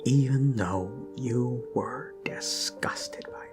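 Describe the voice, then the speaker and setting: Man acting a slow, hushed, obsessive kidnapper. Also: medium pitch, natural voice. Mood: brooding, intimate. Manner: hushed, halting, creepy, slipping between murmurs and sudden emphasis. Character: obsessive male yandere kidnapper, gushing, menacing, self-abasing zealot. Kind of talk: intimate ASMR roleplay monologue. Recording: background music under close voice. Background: music.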